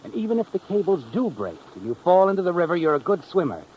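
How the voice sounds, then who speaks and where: A man acting as an American narrator of a 1950s radio thriller, mocking and ominous, with dramatic pauses. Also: high-pitched, very animated, dull audio, a little hiss or hum. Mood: taunting, tense. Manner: taunting second-person address with dramatic pauses. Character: mocking, ominous male storyteller of a crime thriller. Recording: old radio with dramatic music behind.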